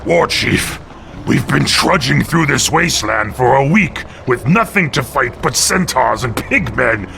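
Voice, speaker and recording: Casting: eager orc soldier, forceful and rough, mid pitch, video game, faint noise behind. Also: male voice, lively intonation, unhurried, full character voice. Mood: restless. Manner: urgent, soldierly. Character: gruff, eager orc warrior scout.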